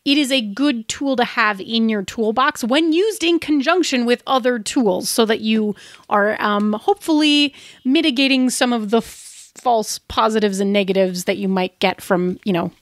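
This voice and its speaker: A woman with a fairly high voice talks informally as a podcast co-host. Her delivery is casual and chatty, like advice shared in discussion, and the sound is close and dry. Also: moderate pace, no echo.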